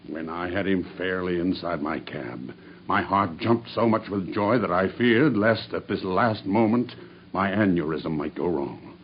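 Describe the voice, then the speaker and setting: A grim, deep-voiced avenger in a radio play, telling his tale with slow, intense menace. The recording is dull and old, with a faint hiss beneath it. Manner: grim, intense storytelling narration. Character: vengeful man, a cab driver hunting his enemy. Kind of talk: 1970s-style radio drama acting.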